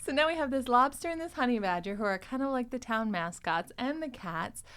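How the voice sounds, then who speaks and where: A woman hosting a casual chat, telling stories in an animated way and quoting other people's lines. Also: fairly high pitch, fairly quick, clean tone. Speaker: podcast host and show editor.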